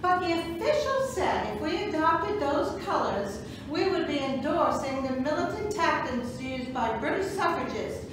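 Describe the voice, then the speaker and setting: An earnest suffragist played by a woman in an amateur stage reading tells her account in a high voice. The sound is very echoey and noisy, picked up from a distance. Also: very animated, measured pace, hardly any pauses. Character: earnest suffragist woman recounting a troubled march. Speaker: volunteer reader in a museum reading play. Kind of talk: amateur reader's theatre storytelling.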